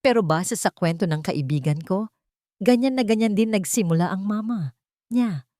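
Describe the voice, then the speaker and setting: A woman playing a gentle, coaxing young fiancée who feigns concern in an audio romance drama. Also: medium pitch, normal speed, no echo, clean studio sound, voice pushed up, lightly acted. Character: sweet-talking scheming young fiancée, falsely concerned.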